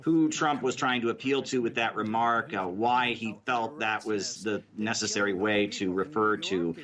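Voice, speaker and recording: A man working as a news correspondent, explaining a political debate in a measured way as he answers an anchor's questions. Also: fairly low pitch, fairly even intonation, fairly bright tone.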